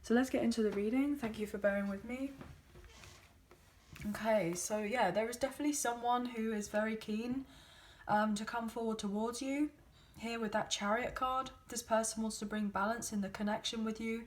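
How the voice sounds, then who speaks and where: A woman with a slightly croaky, hoarse voice, giving a chatty tarot reading into a phone, with frequent fillers. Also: fairly high pitch, steady tone, normal speed, a little hiss or hum. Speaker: tarot card reader.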